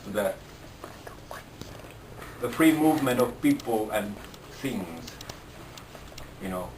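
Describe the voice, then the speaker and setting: A man with a Finnish accent, speaking English slowly and haltingly and restarting his sentences often. He sits well back from the microphone, and the room around him sounds echoey. His pitch sits in a middle range. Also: lively intonation, background noise. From Finland. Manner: slow, halting, with repeated restarts. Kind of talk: casual interview talk. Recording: roomy sound from a distant mic.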